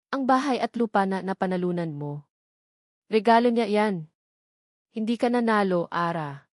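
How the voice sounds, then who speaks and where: A woman's voice: medium pitch, darkish tone, no echo, clean studio sound, natural voice. A woman voicing a taunting, triumphant villainess in a dramatic romance story, mocking and cruel.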